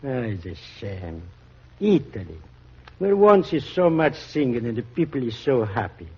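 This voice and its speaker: An actor playing a gentle, sorrowful Greek immigrant with a Greek accent in a 1940s radio show. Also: male voice, mid-range voice, sing-song, moderate pace, husky. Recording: muffled vintage radio recording.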